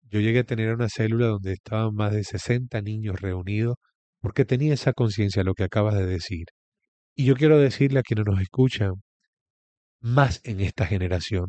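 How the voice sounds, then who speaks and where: Man with a low, warm voice. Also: dry recording. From Venezuela. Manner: earnest, preacherly storytelling. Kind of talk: interview testimony.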